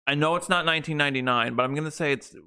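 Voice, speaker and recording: Man, young adult, bright tense voice, crisp and smooth, rambling casual podcast chat. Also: fairly high pitch, racing. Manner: rambling, lots of restarts and fillers. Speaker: podcast co-host.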